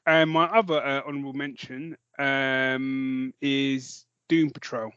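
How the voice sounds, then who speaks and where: A man's voice: mid-range voice, flat delivery, a little slow, bright, forceful tone, clear, resonant tone, no room sound, dull audio. A man chatting casually as a podcast co-host, rambling and jokey in a self-deprecating way.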